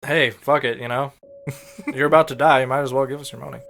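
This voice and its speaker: A man's voice: mid-range voice, fairly even intonation, fast, creaky, background noise. A man co-hosting a podcast, explaining from notes in a casual way with some loose swearing. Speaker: podcast co-host. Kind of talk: explainer read from notes mixed with casual banter.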